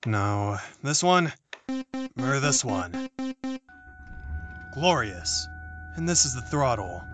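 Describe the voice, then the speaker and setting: A man's voice: medium pitch, big pitch swings, slow, music in the background. An actor playing a jittery, self-serving male politician on the run, muttering to himself in an audio drama. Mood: fumbling, hopeful.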